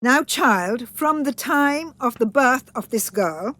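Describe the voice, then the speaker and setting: A woman voicing a stern, blunt elderly great-aunt in a storybook, with imperious, brisk questioning. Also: high-pitched, lively intonation, a bit bright, dry recording, exaggerated voice.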